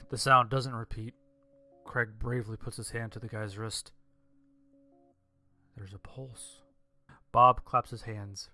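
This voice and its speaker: A man's voice: mid-range voice, steady tone, takes it slowly, pauses often, sharp tone, no room sound. A man reading a scripted horror story as its narrator. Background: instrumental music.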